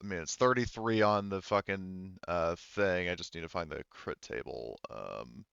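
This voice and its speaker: A man's voice: sits low, normal speed, creaky, fairly bright tone, clear, resonant tone, no room sound, muffled recording. A man acting as game master, casually narrating and ruling on a tabletop roleplaying game with jokey profanity.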